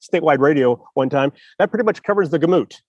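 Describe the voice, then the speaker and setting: Jokey, rambling man, higher voice. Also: animated, lo-fi sound, over a noisy crowd. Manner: jokey, rambling, quick to laugh. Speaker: co-host, writer. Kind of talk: casual podcast chat. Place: outdoors in a city park. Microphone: video call over spotty public Wi-Fi.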